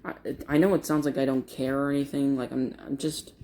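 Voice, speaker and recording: A grieving man talking to camera in a vlog, in a monotone, halting with many fillers and restarts. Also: medium pitch, a little hiss or hum. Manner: monotone, uninteresting voice. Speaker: vlogger. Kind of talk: casual talk to camera.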